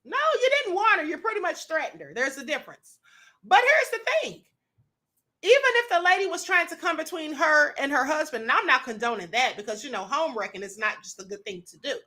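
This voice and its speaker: Host, woman, sassy video commentator; high, lively, bright voice. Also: fairly quick. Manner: sassy, animated, laughs often. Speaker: show host. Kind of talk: commentary reacting to viral videos.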